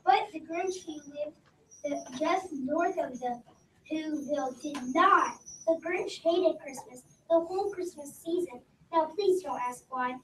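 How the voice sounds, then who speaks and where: Young girl, storybook narration, halting read-aloud, slow pace, echoey distant recording with faint noise. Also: sits high, fairly bright tone. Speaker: readers theater narrator. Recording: echoey room, distant mic, background noise.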